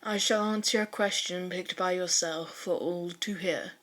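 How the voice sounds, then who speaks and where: A woman's voice: fairly low pitch, steady tone, measured pace, a bit bright. A woman voicing a solemn, cryptic teenage boy who speaks in formal, stilted, archaic phrases in a storybook reading. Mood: grave, cryptic.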